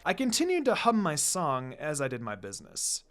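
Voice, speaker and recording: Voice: mid-range voice, huge pitch swings, moderate pace, a bit bright. A channel host reads fan fiction expressively, with a mid-range voice that moves up and down a lot. The style is casual storytelling, with music running underneath. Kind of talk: expressive first-person story narration, casual asides. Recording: background music.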